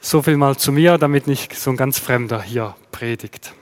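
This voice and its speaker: A man with a Swiss German accent, preaching a sermon in a measured, explanatory way and posing rhetorical questions. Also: mid-range voice, long reverb tail. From Switzerland. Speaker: pastor. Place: church.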